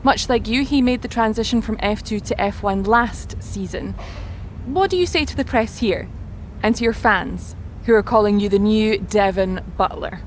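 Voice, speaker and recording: A woman's voice: mid-range voice, moderate pace, slight background noise, close to an everyday voice. An actress playing a pointed female press reporter in a game cutscene.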